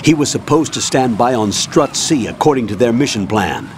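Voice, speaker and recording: A man's voice: mid-range voice, expressive, normal speed, slight background noise, lightly acted. An actor playing a stern male colonel giving curt orders over the radio in a video game cutscene. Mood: commanding. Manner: curt, commanding, briefing orders. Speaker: commanding officer on radio.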